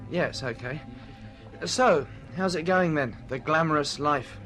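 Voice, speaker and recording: A man's voice: a little high, unhurried, sharp tone, soft background music. An actor playing an awkward, evasive British man in a naturalistic radio drama, speaking hesitantly. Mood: casual, probing. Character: awkward, evasive man meeting an old acquaintance.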